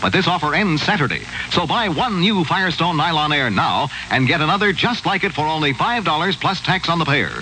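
An American man reading a 1960s radio commercial as a polished, emphatic sales pitch. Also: expressive, a little fast, few pauses, pushed and bright, poor audio. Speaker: commercial announcer. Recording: old radio, faint noise.

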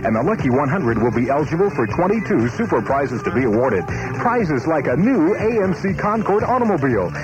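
A man reading a scripted promotional radio spot as a commercial announcer in 1970s style. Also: medium pitch. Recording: muffled 1970s radio aircheck, music bed. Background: music bed.

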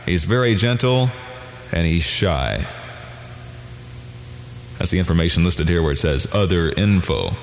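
A man's voice: sits low, lively intonation, a little slow, creaky, long pauses, slight background noise. A man working as a radio DJ, reading listings informally with wry asides. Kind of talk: reading listener classifieds on music radio. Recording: 1970s radio broadcast, long reverb, music underneath. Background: music bed.

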